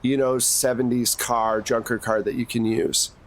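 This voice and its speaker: Male game master, medium pitch, casually guiding players through a tabletop role-playing session. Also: level tone, normal speed.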